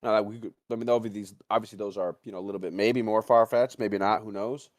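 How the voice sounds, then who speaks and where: A man with a low voice talks in a loose, excitable ramble, like a co-host sharing opinions with friends. He swears freely and keeps it casual. The sound is dry, with hardly any room in it. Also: fast, no echo. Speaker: podcast co-host.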